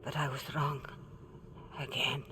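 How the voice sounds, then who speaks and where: An actress playing a solemn, regretful older woman mentor in a video game, tender and grave, with a breathy, often hushed delivery. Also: very deep voice, little pitch movement, unhurried, exaggerated voice. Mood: remorseful. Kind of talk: cinematic video game acting. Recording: noisy ambience behind the voice.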